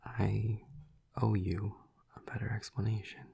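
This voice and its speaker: A man playing a tormented, tender vampire lover in an intimate audio roleplay, halting and stammering in a breathy, husky half-whisper. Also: fairly low pitch, flat delivery, mellow, exaggerated voice. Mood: tender, remorseful.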